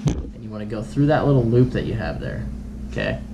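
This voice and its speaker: A man's voice: lowish voice, very animated, a little fast, highs cut off, noisy background. A young man in his twenties casually demonstrating fishing knots at a table in a small room, talking in an easygoing, chatty way full of "you know" and "like". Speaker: fishing vlogger. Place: small bedroom at a table, indoors. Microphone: camera close in front of him.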